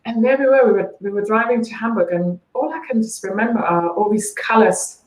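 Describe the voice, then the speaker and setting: A woman's voice: on the high side, a little fast. A woman from East Germany in her forties speaks English with a German accent. She chats as a guest and laughs between her stories. Her voice carries an echo from the room she calls in from. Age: 40s. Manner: chatty, laughs often. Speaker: guest, branding coach. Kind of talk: casual interview chat.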